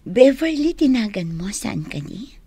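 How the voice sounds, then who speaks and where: A lovelorn young woman in a radio drama. Her voice is fairly high but husky and breathy, carrying quiet regret and longing. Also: very animated, unhurried, exaggerated voice. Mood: hesitant. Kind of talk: Ilocano radio drama acting.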